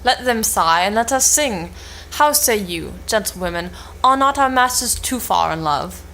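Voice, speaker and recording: A woman's voice: medium pitch, lively intonation, moderate pace, bright, forceful tone, slight background noise, low-quality recording. A young woman reading the part of a merry, mischievous page in an Elizabethan stage comedy, jovial and coaxing. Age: young adult.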